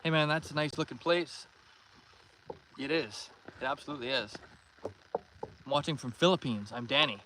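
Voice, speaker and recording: A man's voice: mid-range voice, moderate pace, long pauses, fairly bright tone. Friendly Canadian man livestreaming over outdoor noise. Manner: friendly, welcoming, thanks and greets viewers by name. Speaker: livestream host, angler. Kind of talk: casual livestream chat, reading and answering viewer comments. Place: outdoors in a canoe on a pond. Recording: noisy outdoor recording with water and canoe sounds.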